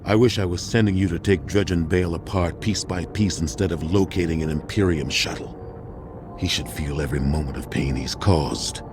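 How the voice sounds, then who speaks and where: An actor playing a stern, older military commander in a video game, grave and measured, with authority in every phrase. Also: male voice, low voice, over music, natural voice. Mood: bitter, angry. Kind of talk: cinematic game dialogue.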